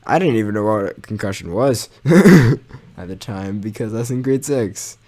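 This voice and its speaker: A man's voice: fairly low pitch, animated, normal speed, darkish tone. A young man telling a personal story in a casual, informal way, mildly sweary and poking fun at himself. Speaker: gaming channel storyteller.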